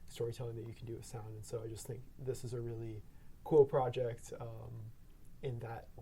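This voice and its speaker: A man speaking as a guest sound designer in a casual discussion, halting as he searches for words. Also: medium pitch, little pitch movement, mellow, breathy edge. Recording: faint background noise and room ambience.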